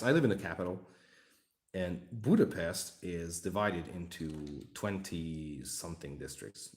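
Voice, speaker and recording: Hungarian male streamer, very low voice, loose joking ramble, echoey room. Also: darkish tone. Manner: rambling, joking, talking to chat. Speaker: livestream hobby host. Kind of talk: casual livestream chat while building a model.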